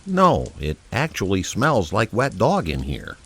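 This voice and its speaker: A man voicing a gloomy, pessimistic talking dog with a glum, deadpan, gravelly delivery in a comedic audio drama. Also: fairly low pitch, unhurried, full character voice. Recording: faint noise with rain.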